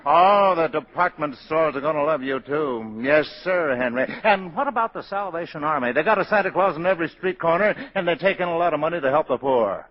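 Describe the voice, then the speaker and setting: An actor playing a shrewd, wisecracking political adviser in a 1940s radio drama, slangy, wry and persuasive. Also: male voice, fairly high pitch, animated, fairly quick, few pauses. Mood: sardonic persuading. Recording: muffled vintage 1940s radio broadcast.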